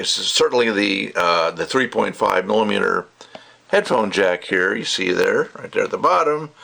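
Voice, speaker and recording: A man with a fairly low, gravelly voice delivers a casual review. He rambles in an opinionated, emphatic way and punctuates his points with quick check-in tags. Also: a bit bright. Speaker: product reviewer, hobbyist audiophile.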